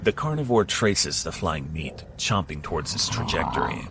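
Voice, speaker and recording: A man giving scripted second-person narration of an action story in a steady, measured way. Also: rumbling low. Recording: clear background noise.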